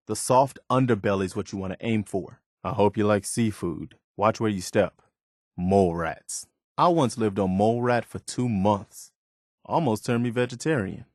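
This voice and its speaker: An actor playing an earnest, loyal, plain-spoken militia man in a video game, sincere and measured. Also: fairly low pitch, a little mellow, dry recording, studio quality. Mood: wary, wry. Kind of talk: naturalistic video game dialogue.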